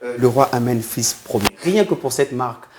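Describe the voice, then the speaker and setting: A man's voice: medium pitch, lively intonation, normal speed, noisy background. A male television journalist hosting a formal studio interview, speaking with deference and ceremony and addressing his guest with honorific titles. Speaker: TV journalist and host.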